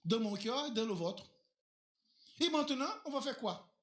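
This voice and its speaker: A male pastor preaching a sermon in a church, a native Portuguese speaker who asks rhetorical questions and leaps up and down in pitch as he drives his points home. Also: lively intonation, measured pace, fairly bright tone. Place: church hall. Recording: echoey church hall.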